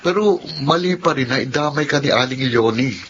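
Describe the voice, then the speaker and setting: An actor with a Filipino accent playing a sympathetic, earnest young neighbour in a radio drama, sounding concerned. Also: male voice, medium pitch, normal speed, natural voice. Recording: dull lo-fi audio with background noise.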